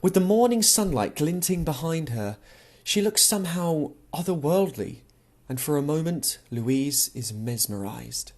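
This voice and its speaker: A man's voice: fairly high pitch, expressive, unhurried, a little mellow. A man narrating a literary novel as an audiobook, reading expressively and acting out the dialogue.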